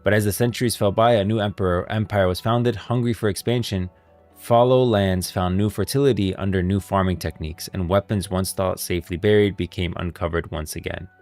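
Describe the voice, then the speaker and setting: A man's voice: sits low, steady tone, brisk, a little mellow. A man hosting a livestream, casually commentating and reading lore aloud, repeating phrases and hesitating as he explains. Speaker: livestream host. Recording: faint background music.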